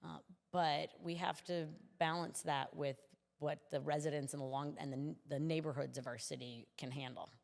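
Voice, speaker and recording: Woman politician chatting in an interview, earnest, creaky voice, long room reverb. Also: low-pitched, steady tone, normal speed, darkish tone. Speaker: city commissioner, interview guest.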